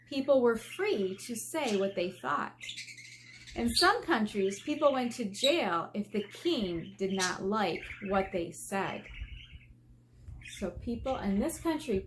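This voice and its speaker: Female elementary teacher, unhurried read-aloud for young kids, expressive with stressed key words, mid-pitched, slight background noise. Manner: expressive, asking the listeners questions, emphatic drawn-out key words. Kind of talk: read-aloud lesson for children.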